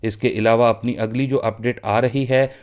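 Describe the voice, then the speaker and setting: A man's voice: mid-range voice, steady tone, normal speed. A man presenting a news roundup for his online channel, reading the updates steadily and addressing viewers as friends. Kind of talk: read news update in Urdu.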